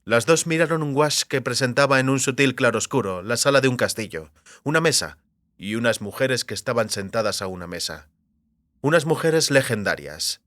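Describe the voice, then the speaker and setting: A man narrates literary fantasy fiction from a script. His voice sits at a middle pitch, recorded very dry with no room sound. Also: lively intonation, normal speed, a bit bright, dry recording. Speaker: audiobook narrator.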